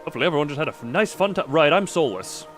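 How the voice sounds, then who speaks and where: A male streamer chats casually through his gameplay in a slightly high, very animated voice. He rambles playfully, bursting into exclamations and silly effects. Music plays softly behind him. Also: very fast. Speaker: live streamer. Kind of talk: casual live gameplay commentary.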